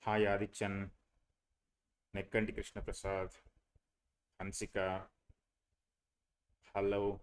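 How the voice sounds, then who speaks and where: A man's voice: on the low side, completely flat delivery, slow pace, long pauses, slight background noise. A young man, teaching a live online class on a video call, hesitant with many fillers and restarts. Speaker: online course instructor.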